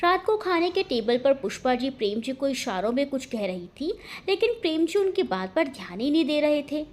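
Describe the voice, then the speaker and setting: A woman's voice: sits high, big pitch swings, normal speed, darkish tone. A woman narrating a scripted family romance story as a dramatic storyteller.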